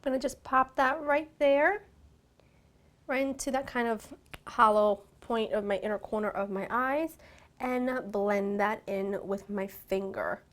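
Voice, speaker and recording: A woman in her thirties, a little raspy from a cold, chatting through a makeup tutorial to her viewers from a small room at home. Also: fairly high pitch, a little slow. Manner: chatty, gushing, warm address to viewers. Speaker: beauty vlogger.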